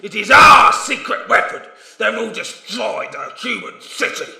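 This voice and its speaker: A man voicing a paranoid, boastful ratman warlord with a raspy snarl and broken, menacing speech. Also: high-pitched, lively intonation, measured pace, pushed and bright, exaggerated voice. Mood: scheming, boastful. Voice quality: raspy, screechy. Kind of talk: dramatic fantasy character acting. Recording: roomy reverberant voice over music.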